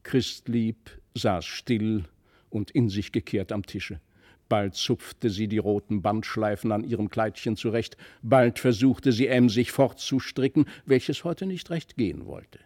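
A man's voice: lowish voice, a little slow, darkish tone. A man reading a literary fairy tale as narrator, phrasing it carefully and expressively in scripted storytelling style. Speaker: narrator, audiobook reader.

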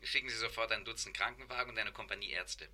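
An actor playing a smug, mock-modest gentleman gangster on the telephone, husky and hushed, in a 1950s radio crime satire. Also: fairly low pitch, quick pace. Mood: breezy, dry.

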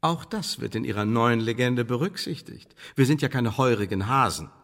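An actor playing a coolly menacing English intelligence official in a radio play, speaking in formal, measured, condescending bureaucratic phrases. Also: male voice, medium pitch, lively intonation, a bit bright. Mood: persuasive, emphatic. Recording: studio radio play with long reverb.

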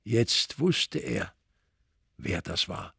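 Deadpan, low-voiced male narrator. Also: very slow, creaky, husky, no room sound. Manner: dry, wry, deadpan. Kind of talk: scripted crime story narration.

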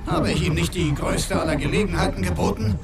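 An actor playing an aggrieved, self-important old master in a radio drama, with florid, theatrical phrasing. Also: male voice, fairly high pitch, brisk, creaky, heavy background noise. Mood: wounded indignation.

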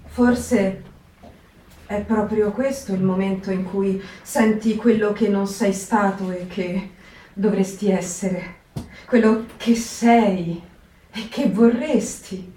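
An actress in a theatre reading on the radio, reflecting slowly and listing what peace might bring. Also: female voice, medium pitch, warm tone, a little hiss or hum, lightly acted. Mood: reflective. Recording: echoey, distant mic.

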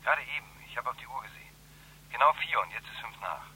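Sharp, nervous crook on the phone. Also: male voice, mid-range voice, expressive, fast talker, slight background noise, rough recording. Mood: insistent. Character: nervous, insistent small-time male crook. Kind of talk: radio crime drama acting.

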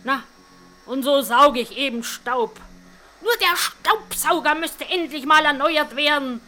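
A woman's voice: sits high, measured pace, bright, forceful tone. An actress playing a grumbling, good-hearted cleaning woman who gossips in a folksy, self-satisfied way in a radio drama. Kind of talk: German radio drama monologue.

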